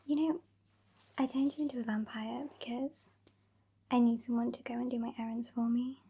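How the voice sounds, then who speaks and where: A woman acts out a haughty British vampire mistress for an ASMR roleplay. Her voice is high yet soft and breathy, mostly whispered. She draws out her words very slowly, with withering impatience and condescension. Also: steady tone, heavily acted. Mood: contemptuous. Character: sassy, haughty, impatient female vampire scolding her weakling fledgling.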